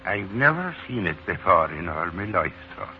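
Sly, deferential Irish leprechaun butler, theatrical and very animated, for vintage radio play on muffled old broadcast. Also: male voice, deep voice, moderate pace, slight background noise. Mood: obsequious, evasive. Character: wily, servile old leprechaun posing as Irish manservant. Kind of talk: 1940s radio acting.

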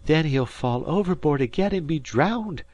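A man's voice: fairly high pitch, lively intonation, normal speed, a little mellow, no room sound, highs cut off, pitched above the performer's own voice. A man voicing an anxious, bitter, fretful mother in a storybook reading.

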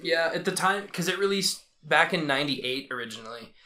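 A young man from the United States, about twenty-one, with a higher and very bright, sharp voice. He talks casually and pokes fun at himself. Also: lively intonation. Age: 20s. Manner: self-deprecating, quick to laugh. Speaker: podcast co-host. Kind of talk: casual chat.

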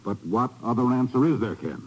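An actor playing a grave, emphatic intelligence chief in a 1950s radio spy drama. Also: male voice, mid-range voice, animated, fairly quick, breathy edge, low-quality recording. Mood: insistent. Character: grave male intelligence chief briefing his agent. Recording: vintage 1950s radio recording.

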